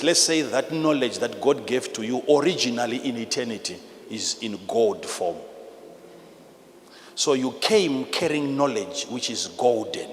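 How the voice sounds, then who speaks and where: A man with a Zimbabwean accent preaching a sermon in an emphatic, repetitive, teacherly manner, swinging between hushed and loud delivery. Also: medium pitch. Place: large church hall with PA. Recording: live in a large reverberant hall, noisy.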